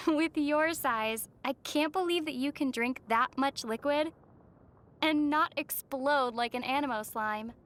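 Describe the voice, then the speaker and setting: A woman playing a gentle, thoughtful young female traveler from another world in scripted anime game dialogue. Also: high voice, measured pace. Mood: puzzled, gently teasing.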